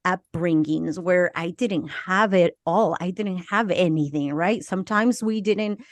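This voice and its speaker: A middle-aged woman from Argentina with a slight Argentine Spanish accent, telling her life story as a podcast guest in a slow, reflective way. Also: on the low side, dry recording. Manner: slow, reflective, with small laughs and restarts. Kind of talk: personal life story in interview.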